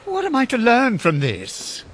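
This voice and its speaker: An actor playing a frightened, humbled old miser, hesitant and pleading, in a radio drama. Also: male voice, very high-pitched, huge pitch swings, unhurried, full character voice. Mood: bewildered, uneasy. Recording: clearly noisy, ambient sound effects behind.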